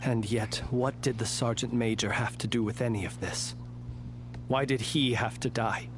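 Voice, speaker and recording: A man's voice: medium pitch, fairly even intonation, moderate pace, close to an everyday voice. An actor playing a composed, courteous, mysterious soldierly man in a video game, speaking formally and with measure, over a noisy hum. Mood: brooding. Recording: clear hum and background noise.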